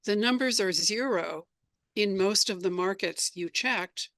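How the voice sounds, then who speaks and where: An American woman speaks as an interview guest. Her voice sits at a middling pitch, and she tells her anecdotes conversationally, like someone used to explaining her own creative work. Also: unhurried, a bit bright. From United States. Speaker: radio drama creator being interviewed.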